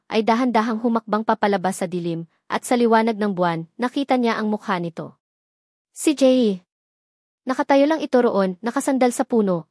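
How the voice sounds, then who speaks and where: A woman narrating a dramatic love story with an even, dramatic storytelling delivery. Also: mid-range voice, dry recording, clean studio sound.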